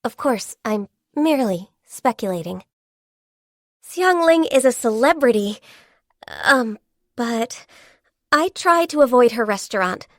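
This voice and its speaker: An actress playing a gentle, modest young woman secretary in a video game, soft-spoken, polite and hesitant, easily flustered. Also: fairly high pitch, expressive, measured pace, long pauses, no room sound, clean studio sound. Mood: modest, reflective, then sheepish, confiding. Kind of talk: scripted video game character voice lines.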